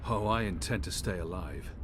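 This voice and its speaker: An actor playing an earnest, steadfast soldier turned rebel in a video game, speaking in a measured, formal way. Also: male voice, low voice, noisy background, lightly acted. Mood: firm, cautious. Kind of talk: video game cutscene acting. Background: background music.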